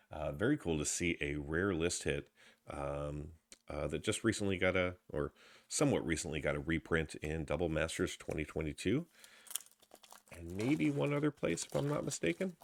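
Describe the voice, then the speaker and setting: A man with a stuffy head cold casually commenting as he opens trading card packs, reading out card names with low energy. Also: animated, moderate pace, creaky, a little hiss or hum. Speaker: card box opener.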